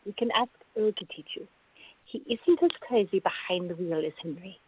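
A woman playing a sensible young working woman in a radio drama, measured, polite and dryly teasing. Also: fairly low pitch, slight background noise, natural voice. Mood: teasing, affectionate. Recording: dull lo-fi recording.

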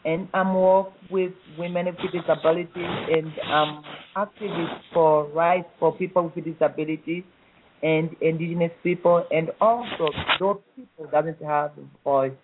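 A middle-aged woman from DR Congo with a Congolese accent. She is a guest in an interview, answering in simple, halting phrases with frequent pauses. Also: on the low side, fairly even intonation, noisy background. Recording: tinny phone line.

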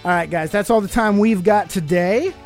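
A man's voice: high voice, normal speed, music in the background. Podcast host: American male, middle-aged, emphatic and sermonizing, speaks straight to listeners with rhetorical questions. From United States. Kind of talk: motivational talk to listeners.

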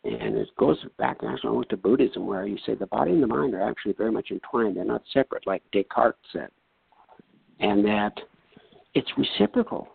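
An older man from North Dakota tells his story in a low, husky voice with a gravelly edge. He talks plainly and earnestly and acts out the exchanges he recounts. The sound is thin and phone-like, with no room around it. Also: a little fast, no room sound. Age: 70s. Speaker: retired doctor. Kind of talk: personal story, casual talk. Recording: tinny phone-like line, dry.

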